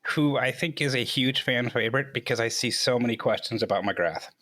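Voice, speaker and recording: A man's voice: medium pitch, brisk, pushed and bright. A man hosting a casual group interview. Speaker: host.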